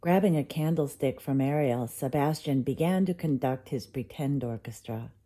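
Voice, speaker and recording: A woman narrating a children's bedtime story in an expressive read-aloud style. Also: extremely low pitch, moderate pace, husky. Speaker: storybook reader.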